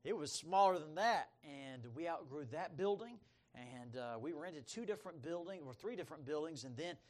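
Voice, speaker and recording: A middle-aged man, preaching and telling his testimony in a folksy storytelling manner, swinging between loud emphasis and quiet asides. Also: a little high, expressive, moderate pace. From Bahamas, raised by American missionary parents. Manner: folksy, storytelling, calls for amens. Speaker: missionary preacher. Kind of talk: sermon, personal testimony.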